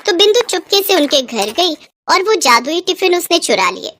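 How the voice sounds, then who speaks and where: High-pitched woman storyteller, lively and expressive. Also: moderate pace. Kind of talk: scripted storytelling narration for a children's moral tale.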